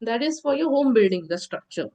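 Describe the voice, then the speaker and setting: A woman giving an online insurance lecture, sounding nasal from a cold, explaining steadily with filler pauses. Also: on the high side, animated, mellow. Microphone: video call.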